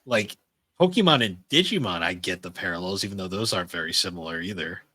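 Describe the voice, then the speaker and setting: Bright-voiced man in casual podcast chat. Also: deep voice, expressive, quick pace, slightly rough, no echo. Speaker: podcast co-host. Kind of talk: casual chat about anime and games.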